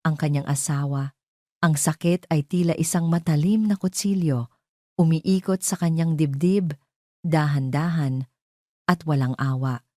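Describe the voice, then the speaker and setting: A woman with a Filipino accent narrates a scripted dramatic story in a measured storytelling manner. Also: low voice, dark tone, dry recording, studio quality.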